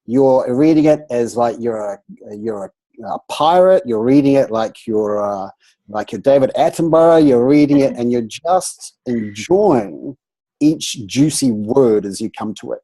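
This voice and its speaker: A man's voice: mid-range voice, a little slow, poor audio. A man with a nasal voice, a voice coach chatting playfully on a group video call and often restarting his sentences. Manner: animated, playful, trails off into restarts. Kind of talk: casual group video chat.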